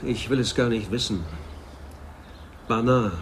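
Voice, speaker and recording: Actor as stern, formal police captain, mid-pitched. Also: male voice, fairly even intonation, slight background noise, close to an everyday voice. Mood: guarded, insistent. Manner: formal, measured, insistent. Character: stern, procedure-minded male police captain, coolly in command. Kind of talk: German radio drama acting.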